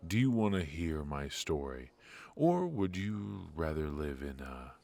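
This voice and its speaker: A man playing a suave, ruthless mafia boss in a noir audio roleplay, confiding in a measured, intimate, smug way with hesitant pauses. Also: rumbling low, big pitch swings, gravelly, darkish tone, exaggerated voice. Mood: smug, teasing. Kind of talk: noir ASMR roleplay monologue.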